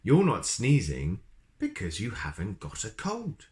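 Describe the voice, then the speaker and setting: A man voicing a wise old storybook wizard, brisk and pompous, given to grand exclamations. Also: fairly low pitch, huge pitch swings, a little mellow. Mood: wise, matter-of-fact.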